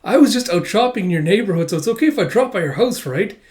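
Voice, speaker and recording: A man voicing an excitable, lovestruck and haughty rich little girl in a gushing, dramatic way for a comic read-aloud. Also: high-pitched, fast, pitched above the performer's own voice. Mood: excited, loud.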